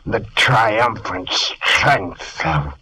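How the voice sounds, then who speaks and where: An actor playing Dr. Jekyll, a troubled gentleman scientist, in theatrical 1940s radio drama style. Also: on the low side, lively intonation, very slow, breathy edge, exaggerated voice, low-quality recording. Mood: intense. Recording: old radio recording with faint hiss.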